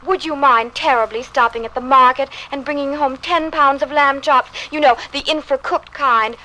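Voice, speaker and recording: An actress playing a sweet, coaxing housewife in a 1950s radio drama, chatty and wifely. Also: female voice, high voice, steady tone, normal speed, bright, forceful tone, poor audio.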